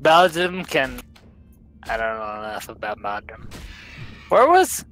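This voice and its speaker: A man's voice: mid-range voice, sing-song, measured pace, a bit bright, soft background music. A young man with a Southern American accent, drunk, chatting while he streams a game, rambling bluntly and swearing. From Southern United States. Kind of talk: casual chat while gaming.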